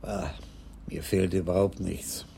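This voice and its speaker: An impish police chief in his sixties for a radio crime drama. His voice is fairly low, gravelly and husky. He talks drily, dodging and teasing, never flustered. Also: flat delivery, takes it slowly, dark tone, full character voice. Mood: calm, kindly. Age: 60s. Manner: dry, evasive, teasing. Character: wily, unflappable, impish aging police commissaris.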